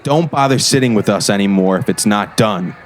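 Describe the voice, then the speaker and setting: A man's voice: fairly low pitch, fairly quick, slight background noise, natural voice. An actor playing a boastful, bullying teenage boy who leads a gang in an audio drama. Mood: taunting, bossy. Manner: boastful, refers to himself in third person. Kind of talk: scripted audio drama acting.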